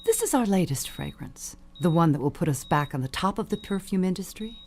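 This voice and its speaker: A woman's voice: on the low side, animated, brisk, darkish tone. Actress doing a bossy rich old mother on her deathbed, fake sweet, really swoopy delivery, bit of noise behind. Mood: condescending. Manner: genteel, commanding, condescending endearments. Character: elderly dying perfume mogul mother, imperious, cutting, falsely sweet. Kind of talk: audio suspense drama acting.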